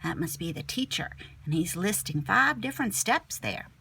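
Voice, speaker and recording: A woman's voice: on the low side, lively intonation, moderate pace, vocal fry, bright, forceful tone, slightly rough. A woman teacher reading a picture book aloud expressively, with chatty asides aimed at young children. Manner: addresses young listeners with simple questions and asides.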